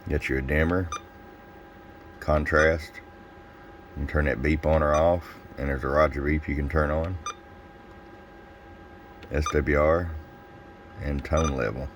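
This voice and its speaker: A man with an extremely low, bassy voice presents a casual product demonstration. He explains things informally and without hurry, like a seller walking a customer through an item. Some noise sits behind his voice. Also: fairly even intonation, slow pace, long pauses, dull audio.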